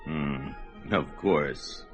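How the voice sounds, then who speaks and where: An actor playing a brawny young barbarian warrior who talks in a gruff, blunt way. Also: male voice, extremely low pitch, extremely slow, a bit bright, exaggerated voice. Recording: dull lo-fi radio play with music.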